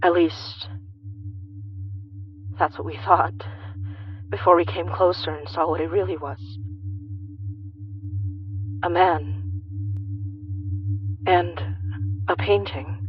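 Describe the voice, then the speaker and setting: A woman playing the grave first-person narrator of a horror audio drama, speaking deliberately with dramatic pauses. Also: lowish voice, fairly even intonation, highs cut off, faint music behind. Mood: ominous. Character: grave, watchful woman recounting an eerie supernatural encounter.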